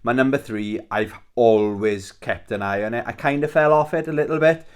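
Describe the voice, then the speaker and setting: A man's voice: mid-range voice, moderate pace, clean tone. Chatty Welsh man with a game collection. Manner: chatty and enthusiastic, swears casually, many restarts. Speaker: YouTube channel host. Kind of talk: casual rambling video chat about his game collection.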